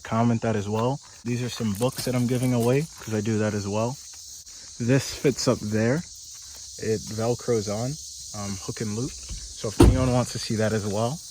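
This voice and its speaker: A man giving a casual tour of the car he lives in, speaking in a flat, deadpan monotone with dry humor. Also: medium pitch, slight background noise, poor audio. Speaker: vlogger living in his car. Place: inside and around a parked car outdoors.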